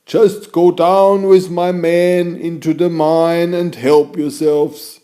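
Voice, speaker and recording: Guy putting on a jovial old moon man for a storybook, pitched way up, gentle and welcoming. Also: level tone, takes it slowly.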